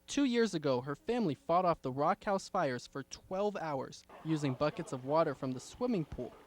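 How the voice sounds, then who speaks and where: A man's voice: on the high side, lively intonation, normal speed. A man delivering scripted television news reports as a field reporter. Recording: outdoor location sound with traffic noise.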